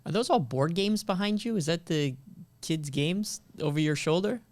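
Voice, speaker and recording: A man's voice: on the high side, expressive, normal speed. A man with an American accent hosting a casual remote interview, asking questions in a conversational way. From United States. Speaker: interview show host.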